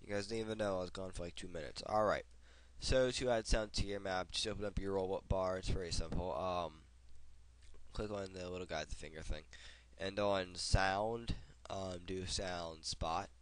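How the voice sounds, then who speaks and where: A young man with a head cold, hosting a casual software tutorial and rambling with fillers as he clicks along at his desk. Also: low voice, fairly even intonation, moderate pace, no room sound. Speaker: tutorial host. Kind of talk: casual screen-recorded tutorial.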